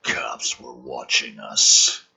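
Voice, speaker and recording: A man voicing a gruff, guarded soldier father from a film script, with clipped, muttered lines. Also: extremely low pitch, level tone, very slow, bright, forceful tone, voice pushed down, heavily acted. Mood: slow realization. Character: gruff, terse soldier dad, baffled and guarded.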